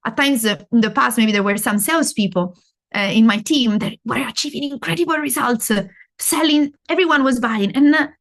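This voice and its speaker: A woman's voice: on the high side, brisk. A middle-aged woman from Italy with an Italian accent, giving a live masterclass and explaining step by step, asking and answering her own questions. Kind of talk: interactive talk on learning.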